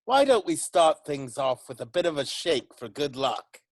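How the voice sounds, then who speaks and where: A man's voice: fairly high pitch, very animated, normal speed, dry recording. A man playing a cheerful, kindly London chimney sweep in an amateur musical read-through, folksy and reassuring. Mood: cheerful, friendly.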